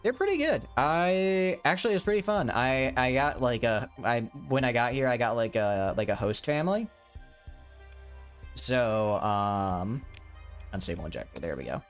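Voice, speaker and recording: A man's voice: mid-range voice, very animated, normal speed, smooth, faint music behind. A young man in his twenties, live-streaming a game and chatting casually, rambling with lots of restarts and fillers. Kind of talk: casual live-stream chat while gaming.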